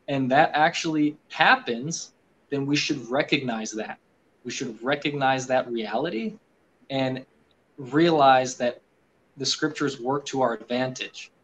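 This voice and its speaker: A man on a video call explaining his views in an earnest, quick way with many fillers, from a room with bookshelves. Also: medium pitch, slight background noise, low-quality recording. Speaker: guest on a live video call. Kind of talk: casual discussion.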